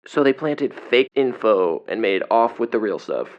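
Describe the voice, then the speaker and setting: A reckless young man exploring somewhere he should not, in an audio drama. His voice sits low and swings widely with eager, stubborn curiosity. He talks in casual slang, and the sound is slightly dull and muffled. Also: sing-song, moderate pace. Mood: skeptical.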